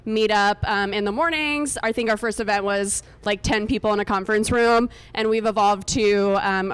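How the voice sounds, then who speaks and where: A woman's voice: medium pitch, smooth, dry recording. A woman hosting an event in a chatty, informal, joking way, speaking up into a microphone. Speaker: event host and co-founder introducing a speaker. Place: meetup event room with air conditioning.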